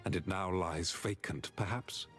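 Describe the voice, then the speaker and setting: An earnest, formal fantasy knight. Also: male voice, sits low, unhurried, faint music behind. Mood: grave. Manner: formal, declamatory. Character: earnest knightly male warrior, grave and dutiful. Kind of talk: fantasy video game dialogue acting.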